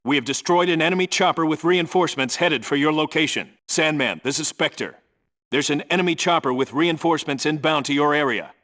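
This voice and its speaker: A man's voice: on the high side, a little fast, a bit bright, close to an everyday voice. A man playing a no-nonsense special forces team leader in a video game, reporting in a clipped, businesslike, commanding way. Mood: focused, businesslike. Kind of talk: scripted radio call-outs, video game military acting.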